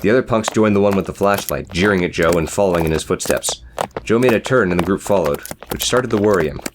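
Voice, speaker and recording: A man's voice: sits low, fast, noisy background. A man narrating a scripted, dramatic story as an audiobook reader.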